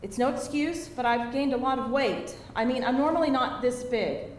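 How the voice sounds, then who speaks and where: A woman's voice: high-pitched, moderate pace, lightly acted. A woman playing a shy, self-conscious divorced woman in her late thirties in a live screenplay reading. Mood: hesitant, vulnerable. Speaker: actress in a live table read. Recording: echoey hall, distant mic, faint noise.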